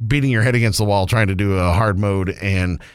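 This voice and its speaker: Deep-voiced man in joking gaming banter. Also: fairly quick, clear, resonant tone, dry recording, studio quality. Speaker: podcast co-host. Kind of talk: casual gaming chat.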